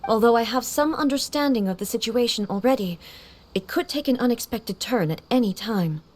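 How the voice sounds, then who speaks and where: A woman's voice: fairly high pitch, a little fast, darkish tone, natural voice. A woman voicing a solemn, commanding warrior ruler in a video game, speaking in a formal, stately manner. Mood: calm, formal.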